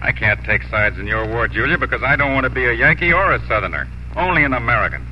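An actor playing an earnest, proud young immigrant cattle drover in 1950s radio drama acting and narration. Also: male voice, medium pitch, expressive, quick pace, slight background noise, natural voice. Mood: earnest, firm. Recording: muffled old radio broadcast, music.

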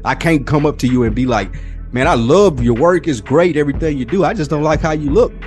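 A man's voice: fairly high pitch, fairly quick, a little mellow, faint music behind. American man in slangy podcast banter. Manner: slangy, animatedly acts out hypothetical quotes. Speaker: podcast co-host. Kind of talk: casual chat.

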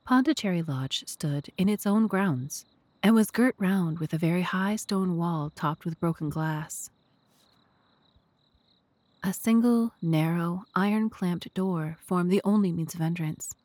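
A woman's voice: lowish voice, measured pace, darkish tone, dry recording. A woman narrating an audio drama from a script, in a storytelling style.

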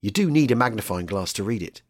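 A man with a slightly husky voice reading scripted documentary narration about history. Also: lowish voice, animated, fast talker, no echo, clean studio sound.

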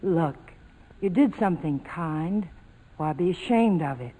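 An actress playing a defiant, wary young woman with sharp, clipped retorts in a 1940s radio drama. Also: on the low side, expressive, measured pace. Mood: softened, guarded. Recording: vintage radio broadcast, reverberant.

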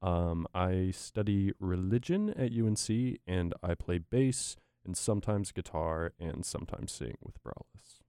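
Very low, smooth young man. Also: unhurried, hardly any pauses, dark tone, dry recording, clean studio sound. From North Carolina, US. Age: young adult. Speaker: student bassist being interviewed. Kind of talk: casual chat.

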